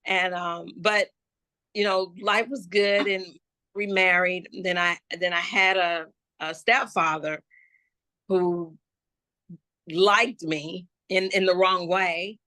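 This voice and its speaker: A woman from Florida, a gospel hip-hop artist, telling her life story slowly and reflectively in an interview, with many restarts. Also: on the low side, fairly even intonation, sharp tone, no room sound. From Jacksonville, Florida. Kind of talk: personal life testimony in an interview.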